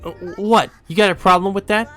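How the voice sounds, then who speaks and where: A man acts out an excitable teenage schoolgirl from a visual novel, pitched well up high. She is indignant and grumbles in exclamations. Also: a little fast, soft background music. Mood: indignant, flustered.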